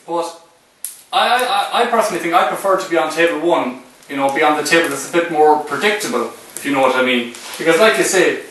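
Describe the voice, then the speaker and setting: Excitable teasing man, slightly high voice. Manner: teasing, excitable, stumbling restarts. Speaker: interviewer. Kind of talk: joking casual chat. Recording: echoey room, voice from far off, faint noise.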